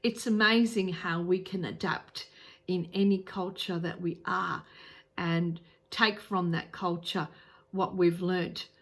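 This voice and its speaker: Slow, low-voiced Australian woman. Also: steady tone. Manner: earnest, repeats words, frequent ums and restarts. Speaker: travel vlogger. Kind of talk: casual advice talk to camera.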